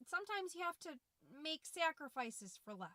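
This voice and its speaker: Woman playing a bright, chirpy suburban mom podcaster. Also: sits high, sing-song, moderate pace, no echo, full character voice. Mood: defensive, gushing. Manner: folksy, rambling, over-cheerful with anxious undertone. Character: chirpy, folksy, fretful suburban mom podcaster, middle-aged. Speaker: podcast host. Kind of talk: scripted comedic monologue as a confiding podcast.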